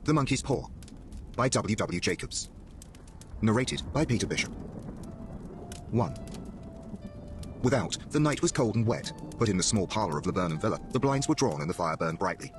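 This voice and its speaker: A man's voice: lowish voice, expressive, normal speed, pauses often, music in the background. A man narrating a story as an audiobook narrator, in a dramatic scripted reading style.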